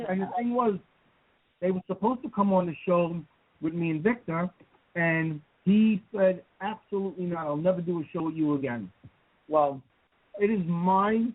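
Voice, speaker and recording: A man hosting a paranormal radio talk show, excitable and often repeating words as he speaks. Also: high-pitched, no echo. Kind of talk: casual radio chat. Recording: dry tinny phone-like line.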